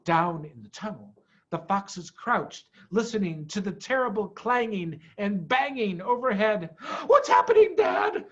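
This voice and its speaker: A man's voice: high voice, expressive, measured pace, fairly bright tone, lo-fi sound. A man reading a children's story aloud in a theatrical, emphatic manner. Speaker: storybook reader, school head.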